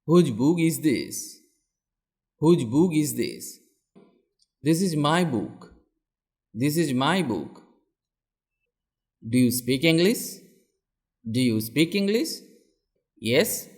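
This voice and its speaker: A man reading simple English questions and answers for learners, saying each sentence twice with clear, deliberate delivery. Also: fairly high pitch. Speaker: English teacher reading practice phrases.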